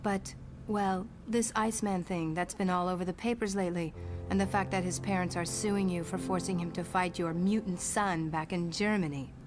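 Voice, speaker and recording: A woman's voice: fairly low pitch, fairly even intonation, normal speed, over music, close to an everyday voice. An actress playing a protective, no-nonsense mother in a motion comic, speaking in an earnest, firm way. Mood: worried, firm. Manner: earnest, firm, sometimes sarcastic. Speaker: voice actor.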